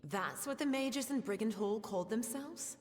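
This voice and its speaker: An actress playing a quiet, guilt-ridden soldier in a fantasy video game, speaking earnestly and hesitantly. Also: female voice, a little high, animated, normal speed, natural voice. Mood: anguished. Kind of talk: video game character acting. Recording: long reverb, music behind.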